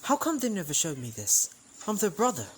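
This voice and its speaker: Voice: medium pitch, wildly swooping pitch, brisk, fairly bright tone. An actor playing an easygoing, blunt schoolboy in an audio drama. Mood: indignant. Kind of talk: amateur audio drama acting. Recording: faint background noise.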